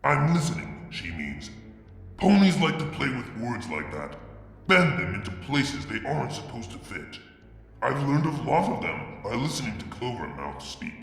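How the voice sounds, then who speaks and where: A man narrates a dark fan fiction story in the first person, brooding and deliberate. His voice is gravelly, with lively shifts in pitch. The recording has a long, ringing reverb. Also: soft background music. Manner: brooding, deliberate, with dramatic drops in loudness. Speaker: fanfiction reader. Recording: reverberant room with long echo.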